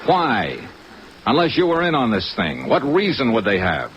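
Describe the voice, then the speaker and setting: An American actor playing a cynical, hard-boiled insurance investigator with a clipped, wry delivery in a 1950s radio detective drama. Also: male voice, medium pitch, wildly swooping pitch, moderate pace, fairly bright tone. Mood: cool, accusing. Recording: dull vintage radio recording, heavy rain noise.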